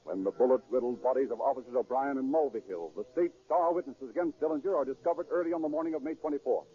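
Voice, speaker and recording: A man's voice: medium pitch, fast, slightly rough. A man narrating a true crime story in dramatic, scripted 1930s radio style. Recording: thin, tinny 1930s radio recording.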